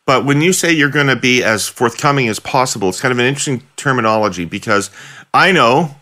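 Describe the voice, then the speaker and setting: A man's voice: mid-range voice, expressive, fast talker, bright, forceful tone. A middle-aged man hosting a podcast interview, pressing his guest with probing follow-up questions over a video call from a home office. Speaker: podcast host. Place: home office with bookshelves, indoors.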